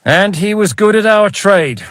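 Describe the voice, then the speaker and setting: An actor playing a wry, battle-hardened mercenary captain in a game, speaking in a measured, world-weary way. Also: male voice, high-pitched, animated, a bit bright, dry recording. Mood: measured, grim. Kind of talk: dramatic game dialogue.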